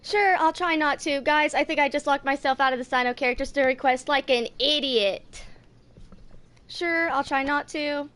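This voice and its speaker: Bright, high-voiced young woman gaming. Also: moderate pace, a little hiss or hum. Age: 20s. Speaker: gaming streamer. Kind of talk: casual gameplay commentary, reading game text aloud. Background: game music.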